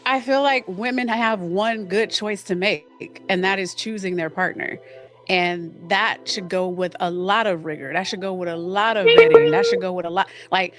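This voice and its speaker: A woman's voice: mid-range voice, expressive, normal speed, music in the background. A woman co-hosting a podcast, speaking frankly and self-aware, with many fillers. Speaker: podcast co-host. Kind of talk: podcast discussion.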